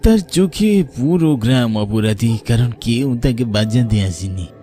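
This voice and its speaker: A man's voice: mid-range voice, expressive, measured pace, dark tone, soft background music, close to an everyday voice. A man with an eastern Bengali accent playing a remorseful village tea seller's ghost, grave and warning, in a horror cartoon. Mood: regretful.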